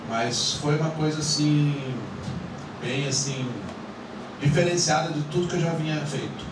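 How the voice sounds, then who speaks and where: A man's voice: fairly high pitch, fairly even intonation, measured pace. A man with a São Paulo countryside accent, an actor casually telling an audience about a role, rambling with many fillers. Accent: Brazilian Portuguese, São Paulo countryside. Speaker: actor at a film screening. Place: screening room with audience. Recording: echoey room, distant mic, loud noise.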